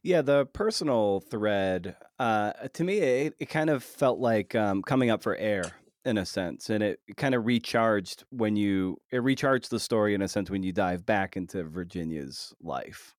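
A man hosting an interview podcast, talking casually and courteously, often pausing to rephrase his thoughts. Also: on the low side, expressive, no room sound, clean studio sound.

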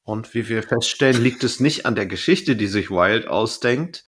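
A man's voice: mid-range voice, expressive, moderate pace, slightly rough. A man, a podcast co-host casually retelling and commenting on a story. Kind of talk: casual discussion of an audio drama, retelling and commenting.

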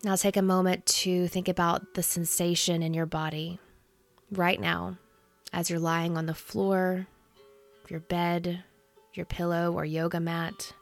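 Low-pitched, creaky-voiced woman guiding meditation. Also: level tone, soft background music. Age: 30s. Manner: soothing, gentle, unhurried instruction. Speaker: meditation guide. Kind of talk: guided meditation.

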